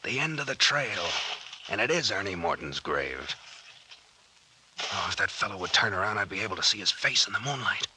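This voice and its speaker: A man's voice: fairly low pitch, lively intonation, normal speed, bright, forceful tone. A man playing a tense, commanding captain in an old-time radio serial, giving terse and urgent orders. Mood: urgent, excited. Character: tense, commanding male captain leading a night stakeout. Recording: faintly noisy old-time radio recording.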